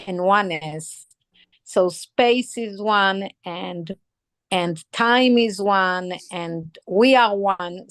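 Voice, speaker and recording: A middle-aged woman with an Israeli accent, speaking earnestly and haltingly as a participant on a video call. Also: mid-range voice, a bit bright, no echo.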